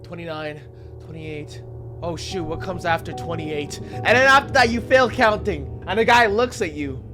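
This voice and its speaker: A man's voice: a little high, moderate pace, music in the background. A young man hosting a reaction video with casual, slangy, incredulous and jokey commentary.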